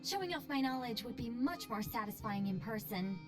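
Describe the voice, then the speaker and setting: An actress playing a poised, playful, self-assured angelic mage in a video game, airily polite and gently boastful. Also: female voice, fairly high pitch, animated, moderate pace, close to an everyday voice. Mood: playfully proud. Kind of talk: video game character dialogue. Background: background music.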